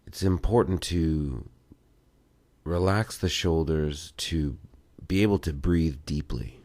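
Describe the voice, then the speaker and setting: A man with a low voice leads a guided relaxation exercise. He speaks very slowly, in short instructional phrases with pauses between them. The sound is dry and close, with no room reverb. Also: a little mellow. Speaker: meditation and self-massage instructor.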